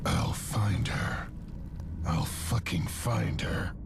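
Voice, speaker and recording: An actor playing a sadistic psychotic killer in a video game, narrating darkly and savoring it with a gravelly, menacing voice. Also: male voice, on the low side, in a whisper, heavily acted. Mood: brooding obsession. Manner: slow, savoring, sinister. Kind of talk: dark scripted game cutscene narration.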